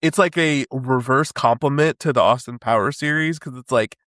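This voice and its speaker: Man podcast host, husky and congested, rambling easygoing chat, dry close mic. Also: mid-range voice, normal speed, a bit bright, no room sound. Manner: laid-back, rambling, laughs often. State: sick with a head cold, husky. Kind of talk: casual chat.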